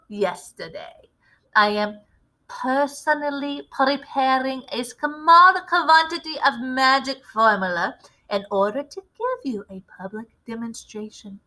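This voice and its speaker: Woman playing a spiteful witch ruler, high bright voice, deliberate, commanding, storybook villain. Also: exaggerated voice, rough recording. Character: imperious, gloating, spiteful Grand High Witch addressing her followers.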